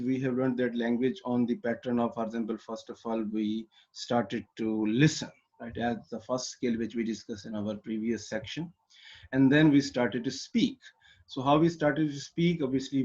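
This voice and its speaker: A man from Pakistan teaches English online, talking through each step in a mid-range voice. He fills pauses with "uh" and often restarts sentences as he explains. The call audio sounds a little dull and muffled. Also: medium pitch, darkish tone. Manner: explanatory, with frequent fillers and restarts. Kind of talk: online lecture.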